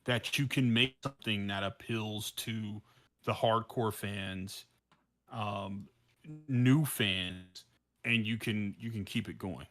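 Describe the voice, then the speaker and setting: A man with a bright, somewhat low voice talks as a podcast co-host. His delivery is casual and rambling, circling back over words as he works out his thought. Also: slow pace.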